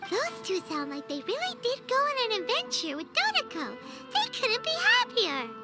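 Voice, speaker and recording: A woman voicing a small, pouty fairy companion in a video game, with a squeaky childlike voice and cutesy delivery. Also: wildly swooping pitch, moderate pace, full character voice. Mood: wistful. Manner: cutesy, speaks of self in third person. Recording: studio voice under loud cheerful music.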